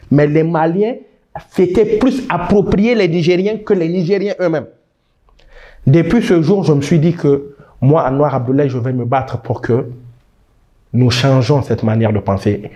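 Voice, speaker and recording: A man's voice: fairly high pitch, dark tone. A man in his thirties from Niger, answering interview questions with emphatic, passionate talk, often restarting words. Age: 30s. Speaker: guest.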